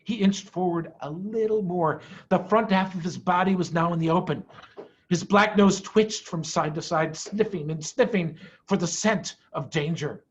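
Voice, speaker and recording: A man giving an expressive, dramatic reading of a children's story, with deliberate phrasing. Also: high-pitched, slightly rough, dull audio. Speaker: head of school reading to pupils.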